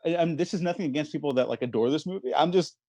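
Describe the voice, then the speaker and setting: A man's voice: on the high side, rapid-fire, a little mellow, no room sound. Man hosting a casual podcast, dry close sound. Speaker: podcast host. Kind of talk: casual chat.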